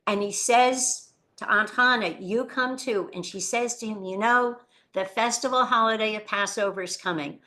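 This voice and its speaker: A woman Holocaust educator, giving an earnest lecture that tells rescue stories and drops quietly at somber moments. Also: mid-range voice, moderate pace, hardly any pauses. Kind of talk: lecture presentation.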